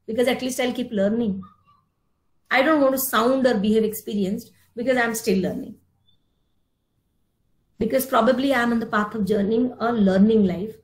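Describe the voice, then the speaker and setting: Female lecturer, Indian accent, middle-aged. Slightly high pitch, didactic phrasing, strong room echo. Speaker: speaker giving a yoga philosophy talk. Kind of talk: lecture with explanations of terms. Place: indoor room, online meeting. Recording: roomy, echoing virtual meeting audio.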